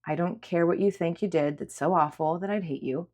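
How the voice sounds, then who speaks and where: A woman voicing a caring, patient woman who reassures with gentle insistence in a dramatic audiobook. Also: on the low side, a little fast, close to an everyday voice. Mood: gently insistent. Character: caring, patient woman comforting an upset girl. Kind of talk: dramatic audiobook character acting.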